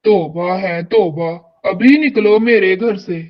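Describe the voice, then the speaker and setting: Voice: mid-range voice, slow, muffled recording, close to an everyday voice. An actor voicing a greedy, harsh crow landlord in a cartoon, with a curt, bullying manner. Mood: harsh, angry. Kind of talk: Hindi cartoon dialogue.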